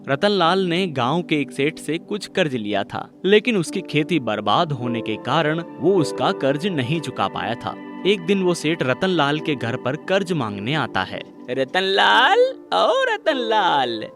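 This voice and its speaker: Male storyteller, scripted children's narration, very animated intonation, higher-pitched voice, background music. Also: unhurried, few pauses. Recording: music behind the voice.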